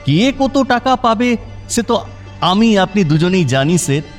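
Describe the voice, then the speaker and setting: An actor in a radio drama playing a deferential subordinate, meek but quietly bitter and pointed. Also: male voice, sits high, expressive, moderate pace, faint music behind. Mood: quiet reproach.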